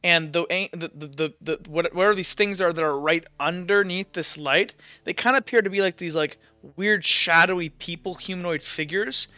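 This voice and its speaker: A man acting as game master, improvising tabletop narration in halting descriptions full of fillers and repeats. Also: sits high, bright, forceful tone, clear, resonant tone, no echo. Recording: muffled lo-fi mic with music. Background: Latin chant music.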